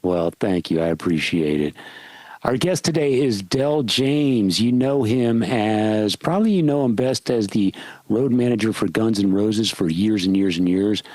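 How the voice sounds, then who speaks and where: A man hosting a podcast, talking in an easygoing, steady way as he catches up with his co-host and introduces a guest. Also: lowish voice, measured pace, no echo. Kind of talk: casual podcast chat.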